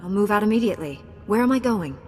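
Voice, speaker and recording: Actress as a confident jedi knight woman, dry wit, medium pitch, echoey w faint music. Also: expressive, fast, close to an everyday voice. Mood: dutiful. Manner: cool, assured. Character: confident, dryly witty female Jedi Knight hero. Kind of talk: video game cutscene acting. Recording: echoey hall, music behind.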